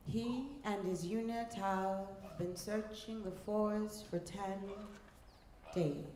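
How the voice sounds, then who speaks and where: A woman reading a scripted story in a measured, deliberate way, pausing between short phrases. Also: mid-range voice, a little mellow, slight background noise. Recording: echoey room, faint music behind.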